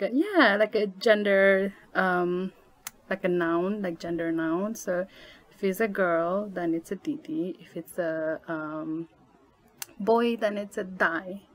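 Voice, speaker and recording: A woman in her twenties from Nepal, talking casually as a podcast guest with many fillers and frequent laughs. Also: mid-range voice. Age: 20s. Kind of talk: casual interview chat.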